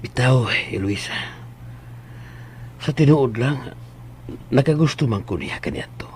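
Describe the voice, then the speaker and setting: An actor playing a flustered, wistful middle-aged father in a radio drama, with a Visayan Philippine accent and an expressive delivery. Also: medium pitch, measured pace, close to an everyday voice. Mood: wistful, confessing. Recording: radio drama with music underneath.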